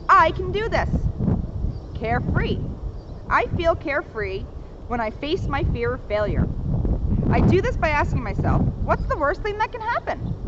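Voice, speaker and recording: A woman's voice: sits high, dull audio. A woman voicing an earnest, encouraging young ninja from a children's storybook, coaching step by step, on a noisy outdoor recording.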